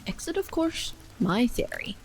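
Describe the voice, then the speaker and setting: A woman voicing an amiable, bookish gentleman in a story, genteel and wry in a measured way. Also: sits high, slight background noise, full character voice, low-quality recording. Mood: critical, probing. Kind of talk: audiobook character dialogue. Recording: steady rain sound over the voice.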